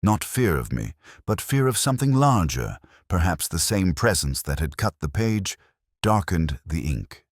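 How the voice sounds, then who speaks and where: Male narrator, very low voice with lively intonation, dry studio sound; solemn scripted detective fiction, measured and literary. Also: no room sound. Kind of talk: scripted first-person detective story narration.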